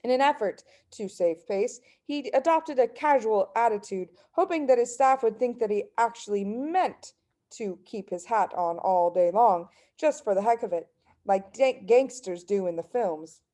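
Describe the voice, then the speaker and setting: Female children's audiobook narrator, expressive storytelling, slightly high register. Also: moderate pace. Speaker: story reader.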